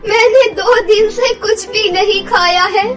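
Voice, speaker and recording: A woman playing a frail, hungry old grandmother begging in an animated story, feeble and pleading. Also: extremely high pitch, unhurried. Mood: weak, pleading. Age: older.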